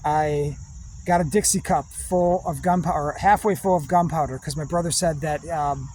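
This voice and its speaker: A man giving a rambling spiritual monologue in slow, drawn-out, meditative phrases with long pauses. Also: on the high side, fairly even intonation, a little mellow, a little hiss or hum. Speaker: spiritual speaker.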